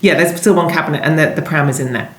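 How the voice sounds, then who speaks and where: A woman with a deep voice talks as an interviewed novelist. She wanders through her answers, pausing and rewording. The room adds a clear echo. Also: slight background noise. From London, England. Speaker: guest novelist being interviewed. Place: indoors at home. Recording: echoey room.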